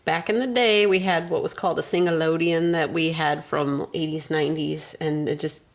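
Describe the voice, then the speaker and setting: Female podcast co-host, casual and conversational, on the low side with noticeable vocal fry. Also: fairly even intonation, normal speed. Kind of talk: casual chat.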